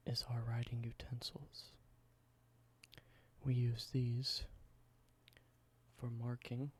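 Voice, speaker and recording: A young man doing a soft, halting ASMR whisper, slowly explaining everyday objects in simple words. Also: mid-range voice, a little hiss or hum. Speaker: ASMR creator.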